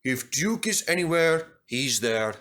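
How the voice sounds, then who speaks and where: A man's voice: medium pitch, expressive, measured pace, fairly bright tone. A man voicing a businesslike railway manager in a children's storybook, explaining in a matter-of-fact way. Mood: explanatory, confident. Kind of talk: children's storybook character acting.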